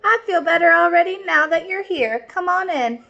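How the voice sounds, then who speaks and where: A woman's voice: very high voice, expressive, normal speed, vocal fry, sharp tone, muffled recording, slight background noise, voice pushed up, full character voice. A woman voicing a trusting, ailing old grandmother with a sweet, welcoming manner in a storybook.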